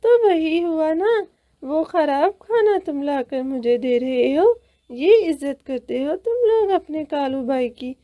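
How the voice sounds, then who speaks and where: A woman puts on a spoiled young cartoon bride bird. The voice is very high and shrill, disdainful and complaining, with close, dry sound. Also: lively intonation, takes it slowly, no echo, lo-fi sound. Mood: disgusted.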